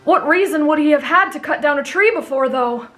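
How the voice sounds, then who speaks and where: A woman's voice: sits high, fairly quick, soft background music. A woman playing a kind, earnest teenage boy swordsman in an anime fan drama, speaking politely and patiently. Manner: gentle, polite, patiently explanatory.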